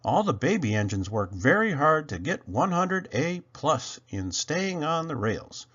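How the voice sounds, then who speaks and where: Slow, very animated male storyteller. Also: fairly high pitch, lo-fi sound. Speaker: storybook reader. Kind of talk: children's read-aloud narration.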